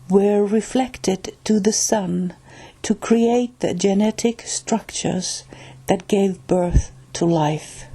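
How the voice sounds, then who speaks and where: A woman with a Finnish accent reads a book aloud at an unhurried, deliberate pace, her voice soft and a little breathy. She goes word by word, now and then stumbling and starting a phrase over, and her tone stays mellow and low-key throughout. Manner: careful, halting reading with restarts on hard words. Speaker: reader of a spiritual book.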